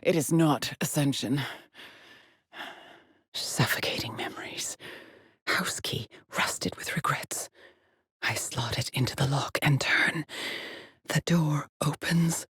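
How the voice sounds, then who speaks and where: A husky, haunted space explorer. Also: female voice, deep voice, no room sound, clean studio sound, exaggerated voice. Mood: haunted whisper. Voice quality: husky, breathy, often dropping to a whisper. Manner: slow, deliberate, cryptic pronouncements. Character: weary, haunted woman space explorer, brooding and obsessive. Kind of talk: dramatic video game monologue, log-like narration.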